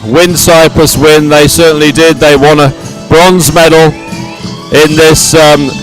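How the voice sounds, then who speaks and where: A middle-aged man commentates live at a sporting event with music playing loudly behind him. His voice sits high for a man. He ad-libs effusively and sentimentally, often saying a phrase again for emphasis. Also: level tone, a little slow, low-quality recording. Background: loud stadium music at a medal ceremony.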